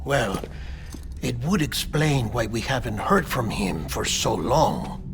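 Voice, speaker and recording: A man playing an earnest, analytical official in a video game cutscene, explaining things in a measured, persuasive way. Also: medium pitch, animated. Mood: pondering, intent. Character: earnest, analytical male official guiding the hero.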